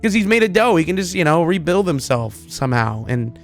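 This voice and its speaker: Higher-pitched man, enthusiastic gaming commentator. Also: very animated, a little fast, soft background music. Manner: informal, enthusiastic, rambling. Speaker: gaming video creator. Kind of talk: casual excited commentary on pictures.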